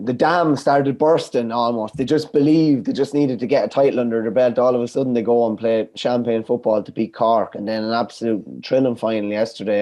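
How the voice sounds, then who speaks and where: A man with an Irish accent co-hosting a sports podcast over a video call, chatty and enthusiastic, his voice a bit croaky. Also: medium pitch, warm tone. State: slightly croaky voice. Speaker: podcast co-host. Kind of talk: casual sports chat. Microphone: webcam video call.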